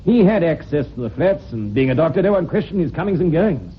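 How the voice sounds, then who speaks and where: An actor playing a shrewd, unhurried police inspector, measured and wry, in an old-time radio drama. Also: male voice, a little high, sing-song. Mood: confident. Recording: dull, hissy old radio recording.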